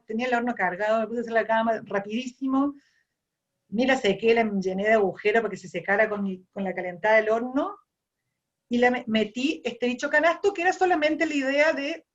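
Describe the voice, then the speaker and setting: A woman's voice: fairly high pitch, muffled recording. A woman from Argentina with an Argentine accent, a ceramic artist thinking aloud as she tells the stories behind her work, often repeating and restarting phrases. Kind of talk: reflective personal storytelling.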